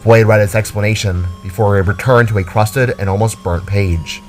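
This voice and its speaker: A man's voice: lowish voice, steady tone, moderate pace, a little mellow. Man dramatically narrating fantasy over faint music. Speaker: narrator, storyteller.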